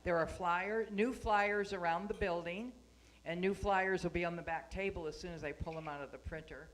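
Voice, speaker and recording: A woman's voice: lowish voice, fairly even intonation, moderate pace. A woman giving live spoken announcements to a congregation, speaking at a microphone in a meeting room.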